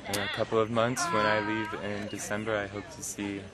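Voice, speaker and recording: A young American man from New York in his twenties giving a measured, pausing testimonial outdoors to a camera. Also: lowish voice, monotone, poor audio. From New York, United States. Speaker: event participant. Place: outdoors by a thatched hut. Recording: outdoor recording with loud background chatter. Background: crowd noise.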